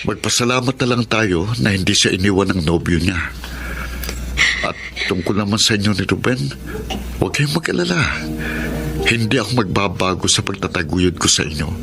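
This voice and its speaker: A man playing a patient, caring eldest brother in a radio drama, with an earnest, gentle delivery. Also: lowish voice, expressive, moderate pace, vocal fry, pauses often, over music. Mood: subdued, reassuring. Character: self-sacrificing eldest brother, tricycle driver, patient and caring. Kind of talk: Filipino radio drama acting.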